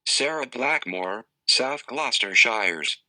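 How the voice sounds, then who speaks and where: Really sharp bright guy voice, slow scripted pronunciation examples, dry sound. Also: mid-range voice, expressive, dry recording.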